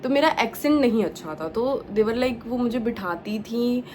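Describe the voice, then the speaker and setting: A woman's voice: on the high side, expressive, measured pace, darkish tone. Chatty young Punjabi-native woman from India, casual vlog talk, slight background hiss. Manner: chatty, mixes English words into Hindi, many fillers. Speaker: vlogger. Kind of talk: casual chat.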